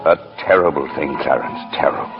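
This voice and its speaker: An actor playing a solemn senior angel who narrates a man's life in a radio drama, measured and authoritative. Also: very deep voice, loud music behind the voice. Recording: slightly dull radio recording.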